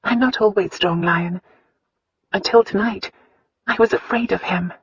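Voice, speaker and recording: A woman voicing an anxious, loving young wife in a pleading, emotional, breathy tone. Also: mid-range voice, measured pace, dry recording, pitched above the performer's own voice. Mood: earnest. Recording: dull, dry audiobook recording.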